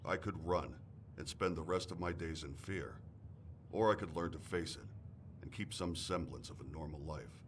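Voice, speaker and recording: Horror game role: weary, resolute male mortician played by an actor, very deep, solemn delivery, slight background rumble. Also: normal speed, close to an everyday voice. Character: grave older mortician, weary, resolute, haunted survivor.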